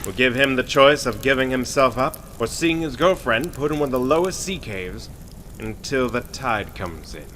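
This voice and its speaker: Man playing a sharp-voiced ruthless crime boss. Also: mid-range voice, lively intonation, moderate pace, slight background noise, lightly acted. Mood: cold, gloating. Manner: domineering, sneering, melodramatic. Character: Burkett, ruthless, scornful male criminal boss. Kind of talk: Golden Age radio drama acting.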